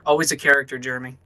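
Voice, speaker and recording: A man's voice: mid-range voice, pushed and bright. A man giving live co-commentary and analysis on a sim race in a casual, conversational way.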